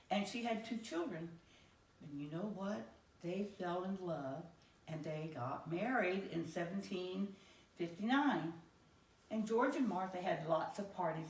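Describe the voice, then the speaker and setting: An American woman telling a story to young children in a simple, deliberate teacherly way, with questions to her listeners. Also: fairly low pitch, a little mellow. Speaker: children's teacher reading aloud. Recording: roomy echo, distant microphone.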